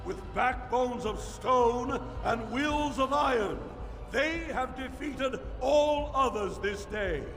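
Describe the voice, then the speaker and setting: A ceremonial dwarf announcer proclaims in a fantasy video game. His delivery is slow and grand, raised in pitch. It carries through a reverberant hall with music behind. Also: a bit bright, exaggerated voice. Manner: ceremonial, declamatory. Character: formal, booming dwarf arena master of ceremonies.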